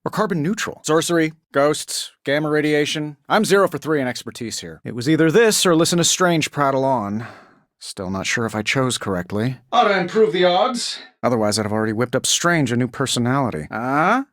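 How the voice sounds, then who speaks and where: An actor voicing a cocky, wisecracking genius inventor superhero in a video game, with an American accent and a sarcastic, glib delivery. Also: male voice, medium pitch, fairly bright tone, no room sound, studio quality. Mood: wry banter, then combat taunting. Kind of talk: video game character voice lines.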